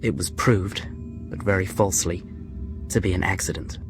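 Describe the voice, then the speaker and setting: Earnest, low-voiced young prisoner. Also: male voice, fairly even intonation, moderate pace, over music. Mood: somber, reflective. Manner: earnest, somber. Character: earnest, worried young American son, a prisoner. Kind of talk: radio drama acting with letter narration. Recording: clear ambient noise behind the voice.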